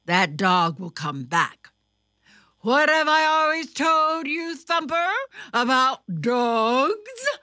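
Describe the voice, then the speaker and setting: A woman's voice: fairly low pitch, expressive, slow pace, hardly any pauses, pushed and bright, no echo, clean studio sound, full character voice. A woman voicing a stern, admonishing father rabbit in a children's storybook.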